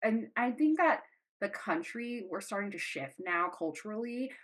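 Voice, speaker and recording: A woman's voice: mid-range voice, animated, normal speed, fairly bright tone. A woman hosting a podcast in a reflective, conversational style. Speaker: podcast host.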